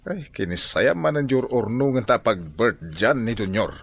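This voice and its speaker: A man's voice: medium pitch, lively intonation, normal speed, creaky. An actor in a radio drama playing a practical middle-aged village father who grumbles about lending money. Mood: grumbling. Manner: grumbling and matter-of-fact.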